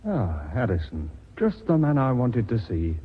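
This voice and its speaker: An actor playing a conceited, blustering, smooth-talking British sales manager in a radio play. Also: male voice, mid-range voice, very animated, unhurried, noisy background. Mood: brusque, irritated. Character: pushy, conceited, bullying male sales manager. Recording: muffled lo-fi radio drama recording.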